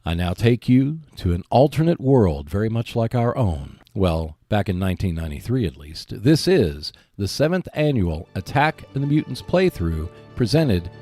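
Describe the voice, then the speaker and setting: A man's voice: lowish voice, big pitch swings, moderate pace, hardly any pauses, mellow, no echo, soft background music. A man hosting a podcast, reading scripted narration.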